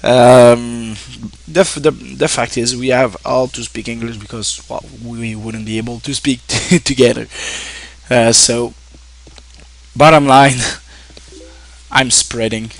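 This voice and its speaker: A French-speaking man from Quebec talks to his viewers in English with a Québécois French accent. His mid-pitched voice has a gravelly fry. He stops, restarts and fills gaps often as he goes. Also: steady tone, low-quality recording. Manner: halting, with many fillers and self-restarts. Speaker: streamer. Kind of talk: casual thank-you talk to viewers.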